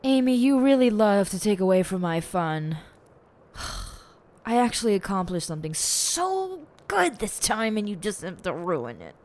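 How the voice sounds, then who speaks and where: A woman playing a smug, theatrical, teasingly bossy lead detective in an audio roleplay, with pompous and deliberate phrasing. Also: medium pitch, big pitch swings, darkish tone.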